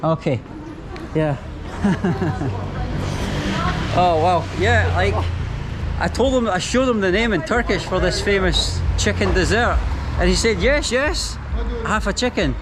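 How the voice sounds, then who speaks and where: A man's voice: sits high, animated, takes it slowly, pauses often, a crowd in the background. Chatty Scottish man on a noisy street. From Scotland. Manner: chatty, talks straight to viewers. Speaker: travel vlogger. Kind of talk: casual travel vlog. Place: outdoors on a busy city street. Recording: street traffic noise behind. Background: traffic and street ambience.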